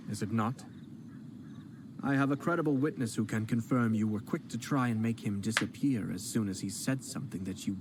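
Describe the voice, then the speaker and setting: A man playing a composed noble commissioner in a game, with a lowish, even voice that stays polite while every line cuts. The game audio carries a clear hiss of noise underneath. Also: natural voice. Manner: calm, polite, coolly cutting. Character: composed noble male commissioner, cool and cutting. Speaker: game character. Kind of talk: game cutscene voice acting.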